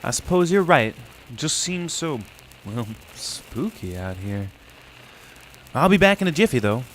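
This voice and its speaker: A man's voice: mid-range voice, big pitch swings, a little slow, a little hiss or hum, rough recording. An actor playing a polite, eager teenage boy with genteel dated slang in a 1920s old-time radio drama. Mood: earnest, uneasy. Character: polite, eager teenage son of a wealthy mother.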